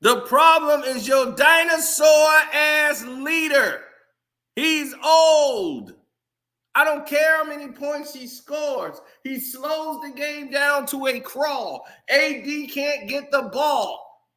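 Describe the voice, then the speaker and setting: Heated male sports commentator addressing viewers, bright and forceful. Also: very high voice, slow pace. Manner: heated, ranting, talks straight to viewers. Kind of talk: casual sports commentary rant.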